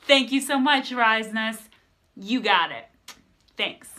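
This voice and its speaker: Upbeat bright-voiced woman hosting a stream. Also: on the high side, takes it slowly. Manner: upbeat, energetic, talks straight to viewers. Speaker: improv comedy show host. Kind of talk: live-stream improv intro.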